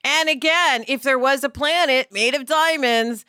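A woman's voice: high voice, unhurried, bright, forceful tone, no echo, clean studio sound. A woman co-hosting a podcast in casual chat, reflective, often ending thoughts with a rhetorical "right?". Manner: reflective, asks rhetorical questions. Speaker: podcast co-host.

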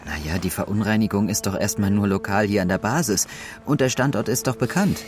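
A man voices a weary astronaut recording his log, his voice sitting low and even. He sounds worn down and quiet, with soft music underneath. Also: brisk, close to an everyday voice. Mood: tense. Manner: tired, subdued logbook musing. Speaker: astronaut crew member. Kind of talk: audio drama acting.

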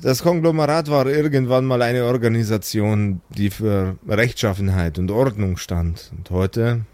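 A man playing a calm, remorseful supervillain in an improvised audio role-play, speaking slowly and solemnly. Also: fairly low pitch, no room sound, natural voice. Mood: solemn, weary. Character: weary, remorseful male supervillain Magma Man, calm and relaxed.

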